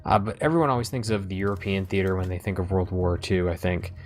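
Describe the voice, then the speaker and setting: A man's voice: sits low, steady tone, fast, darkish tone, faint music behind. An American man hosting a casual discussion, talking in a rambling, conversational way as he thinks aloud. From United States. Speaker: host of a gaming commentary.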